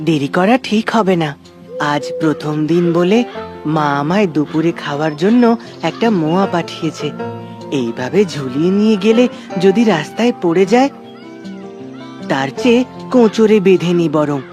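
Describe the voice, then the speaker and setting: A woman voicing a kind, tender young pupil in a cartoon, speaking in a gentle, coaxing way. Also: on the low side, expressive, moderate pace, long pauses, lightly acted. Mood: thoughtful. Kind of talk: cartoon voice acting. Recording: clear music behind the voice.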